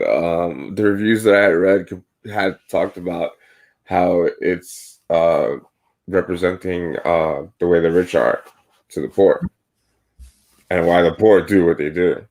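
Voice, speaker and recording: A man's voice: low voice, a little mellow. A man co-hosting a casual podcast, talking in long rambling turns full of "like" and "right?". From United States. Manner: rambling, filler-heavy, says "like" and "right?" often. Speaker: podcast co-host.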